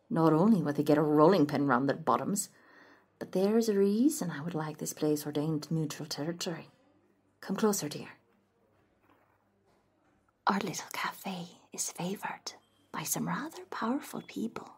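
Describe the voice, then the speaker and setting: A man's voice: high-pitched, slightly rough, heavily acted. A man voicing a kindly, shrewd older café owner with a British accent who calls everyone love and dear. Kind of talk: audio roleplay acting.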